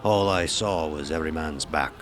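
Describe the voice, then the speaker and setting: A deep, low-pitched seafaring officer from the age of sail. He tells his tale in salty, archaic sailor talk, as in a horror radio play. There is clear noise behind the voice. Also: normal speed. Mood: storytelling. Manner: salty archaic nautical storytelling. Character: gruff old-time sailing-ship officer recounting a sea tale. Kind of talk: dramatic first-person audio horror narration.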